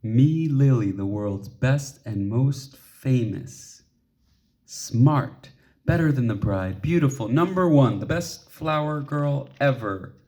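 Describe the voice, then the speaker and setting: A man's voice: medium pitch, lively intonation, slow, a little mellow. A man voicing an eager, determined little schoolgirl in a storybook, earnest and insistent. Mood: proud. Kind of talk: storybook character dialogue.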